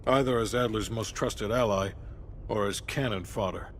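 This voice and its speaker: A man acting as a hard-nosed, doubting intelligence official in a game cutscene. His voice sits on the low side, clipped and sarcastic, with faint ambience behind it. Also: normal speed, a little hiss or hum, lightly acted. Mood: stern, sardonic. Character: stern, skeptical, sardonic male intelligence handler. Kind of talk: cinematic game dialogue acting.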